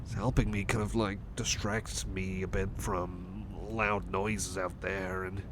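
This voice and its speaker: A man's voice: low voice, level tone, unhurried, very noisy. A man playing a shy, anxious, apologetic cyborg friend in an ASMR roleplay, speaking in a hesitant, nervous stammer. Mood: grateful, uneasy. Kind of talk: ASMR roleplay, personal attention.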